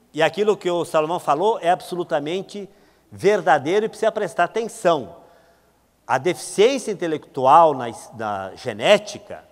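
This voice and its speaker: A Brazilian man giving a symposium lecture as a doctor, didactic and emphatic with informal asides. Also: fairly high pitch. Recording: reverberant hall.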